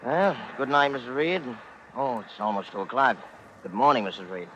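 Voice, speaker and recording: A man's voice: mid-range voice, lively intonation, moderate pace, close to an everyday voice. A man acting a polite, probing police chief in an old-time radio drama, casually asking leading questions. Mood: quietly probing. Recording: muffled, noisy old radio recording.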